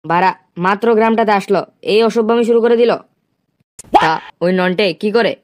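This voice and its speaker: A woman's voice: medium pitch, fairly quick, darkish tone, natural voice. A woman voicing a pushy, crude young village club leader, male, in a comic cartoon. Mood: concerned.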